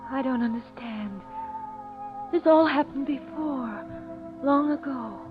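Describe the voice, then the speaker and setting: An actress playing a dazed, fragile, guilt-ridden daughter, dreamy and distracted, in an old-time radio drama on a muffled recording. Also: female voice, high-pitched, takes it slowly, over music. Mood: dazed, remorseful.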